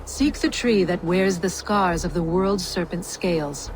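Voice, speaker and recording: An actress playing a cool, condescending giantess who bargains in a fantasy video game. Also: female voice, on the low side, unhurried, a little hiss or hum, lightly acted, low-quality recording. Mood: cold. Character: cold, scheming giantess offering a deal. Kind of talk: video game cutscene acting.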